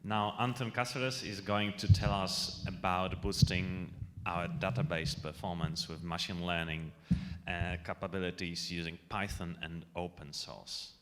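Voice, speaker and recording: A man introducing the next speaker at a conference, announcing slowly and formally. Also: sits low, fairly even intonation, fairly bright tone. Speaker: session host. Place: conference hall. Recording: hall reverb, far from mic.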